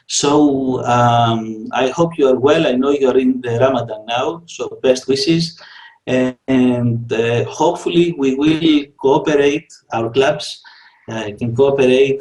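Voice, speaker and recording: A man of medium pitch speaks earnestly, hesitating with "uh" and "um" as he offers a friendly greeting. His voice echoes around the room. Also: a little mellow. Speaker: astronomy club member. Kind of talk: recorded greeting message.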